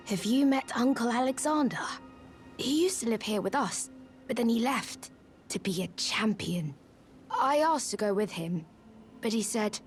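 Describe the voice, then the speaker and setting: Small young living jar, childlike, earnest, wistful, soft, confiding, high-pitched, slow, game dialogue with faint music. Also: female voice. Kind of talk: video game character acting.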